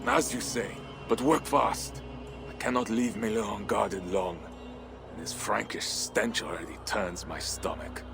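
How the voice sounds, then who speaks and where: Hardened game warrior, curt, scornful, lowish voice, music underneath. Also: male voice, steady tone, measured pace, vocal fry. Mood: impatient. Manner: curt, disdainful. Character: stern, contemptuous hardened warrior ally. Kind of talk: video game character acting. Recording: music behind the voice.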